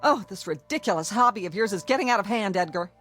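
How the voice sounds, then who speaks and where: A woman acts a bossy, contemptuous wife who never stops finding fault. Her delivery is sarcastic and highly animated, swinging widely in pitch. It is radio drama, with faint music behind the dialogue. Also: fast, close to an everyday voice. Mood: irritated scolding. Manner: scolding, sarcastic, complaining. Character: shrill, domineering, bigoted nagging wife, former model.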